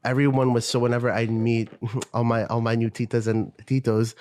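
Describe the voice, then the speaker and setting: A man's voice: medium pitch, flat delivery, a little fast, a little mellow. A Filipino American man, a podcast co-host whose first language was Tagalog, telling stories in a loose, laid-back way. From United States. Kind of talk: casual chat.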